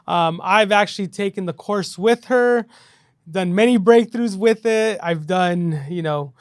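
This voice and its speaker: A man's voice: high-pitched, unhurried, smooth, no room sound, clean studio sound. A man hosting a casual podcast, upbeat and loose, filling his talk with "you know" and "right". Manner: upbeat, filler-heavy, says "you know" and "right" a lot. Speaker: podcast host.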